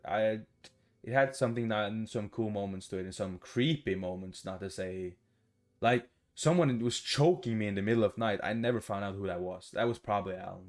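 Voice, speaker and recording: Low-voiced man doing casual game commentary. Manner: blunt, swearing, rambling. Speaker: gaming video host. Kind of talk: casual let's play commentary, reading game text aloud.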